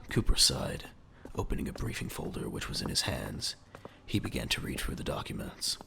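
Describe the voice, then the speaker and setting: A man's voice: extremely low pitch, sing-song, normal speed, gravelly, background noise. A man narrating an audio drama from a script in a dramatic storytelling style.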